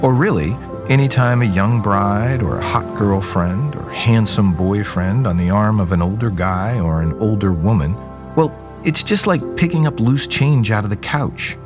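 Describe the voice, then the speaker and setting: Actor as a low-voiced jaded young man, narrating wryly. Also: expressive, normal speed, over music, close to an everyday voice. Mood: wry, rambling. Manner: wry, conversational, rambling asides. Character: jaded, rebellious young man, wry and rambling. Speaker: narrator and lead character of an audio drama. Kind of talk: first-person dramatic narration, conversational storytelling.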